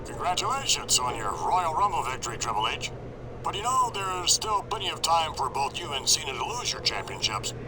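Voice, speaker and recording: A man's voice: a little high, sing-song, fairly quick. A man playing a smug, scheming wrestling show authority with a taunting manner in a video game. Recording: heavy background noise.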